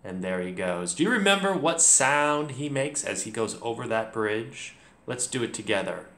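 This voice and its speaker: A man in his thirties, a teacher leading a read-along story lesson for children, clear, encouraging and deliberate. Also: medium pitch, expressive, fairly bright tone. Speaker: teacher, host. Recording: roomy home recording.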